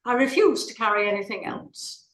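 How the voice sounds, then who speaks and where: Woman acting a haughty rich madam, highish voice, bossy, irritable, stage comedy reading, roomy sound. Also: animated, moderate pace, a bit bright. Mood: imperious, fed up. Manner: bossy, haughty, clipped complaining. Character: imperious, irritable wealthy woman ordering her chauffeur. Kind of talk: play reading. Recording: roomy, echoing room.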